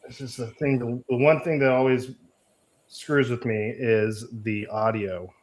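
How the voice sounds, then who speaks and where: A man chatting casually while setting up audio, halting and fumbling for words. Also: mid-range voice, fairly even intonation. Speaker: debate guest. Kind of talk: casual chat.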